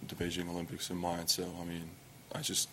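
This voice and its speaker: A young man from Estonia with an Estonian accent, answering press questions in halting English full of fillers and restarts. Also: monotone, pushed and bright, a little hiss or hum. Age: young adult. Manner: halting, many fillers and restarts, low-key. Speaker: athlete at a press conference.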